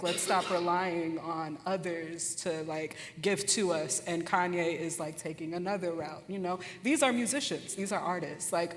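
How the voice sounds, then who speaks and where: An American woman from Detroit talking informally and emphatically as a panelist, in a conversational, animated way. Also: lowish voice. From Detroit, United States. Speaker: panelist, musician. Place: conference hall. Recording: echoey hall with long reverb.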